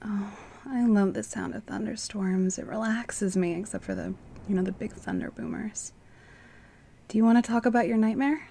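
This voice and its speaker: A woman playing a caring, sleepy wife in a radio mystery drama, with a gentle, soothing manner. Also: medium pitch, fairly even intonation, moderate pace, vocal fry, husky. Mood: concerned, soothing.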